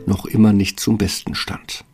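A man's voice: lowish voice, little pitch movement, moderate pace, a little mellow, husky, whispered. A man narrating a fairy tale as a scripted storyteller. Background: music.

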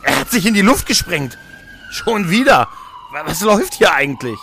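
An actor playing an anxious, sympathetic companion in a German audio drama. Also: male voice, sits high, very animated, moderate pace, background noise. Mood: shocked. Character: anxious, sympathetic male companion, ready to act.

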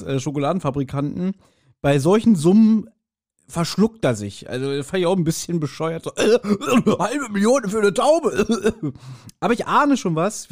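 Man, fairly high voice, podcast co-host, joking, gently mocking, halting asides. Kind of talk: casual chat, humorous commentary on an audio drama.

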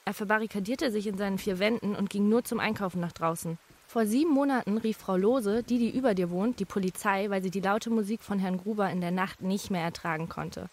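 A woman's voice: medium pitch, natural voice. A woman playing a sociable, gossipy neighbour, telling things conversationally in an audio horror story. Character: sociable female neighbour, chatty, darkly revealing local gossip.

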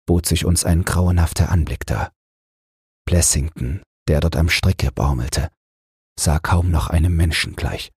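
A man reading a calm, scripted bedtime crime story as an audiobook narrator. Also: low-pitched, breathy edge, no echo, studio quality.

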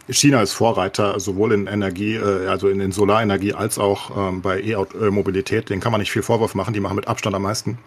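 A man's voice: fairly low pitch, breathy edge. A man in a casual, opinionated discussion as a podcast co-host, talking colloquially with English swear words thrown in.

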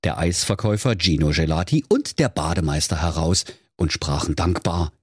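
Deep, husky male fairy-tale storyteller. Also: lively intonation, normal speed, a little mellow, dry recording, clean studio sound. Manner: dramatic storybook telling. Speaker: storyteller, podcast host. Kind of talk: comic fairy-tale narration.

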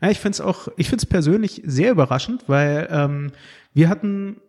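A man's voice: a little high, normal speed, darkish tone. A man hosting a casual podcast conversation, hesitant and searching for his words, with many fillers. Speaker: podcast host.

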